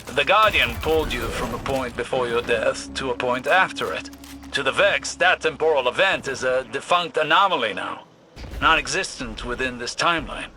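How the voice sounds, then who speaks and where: An actor playing a weary, intense older scholar in a video game, speaking in an earnest, cryptic way. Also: male voice, mid-range voice, animated, normal speed, pushed and bright, slight background noise. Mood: sober.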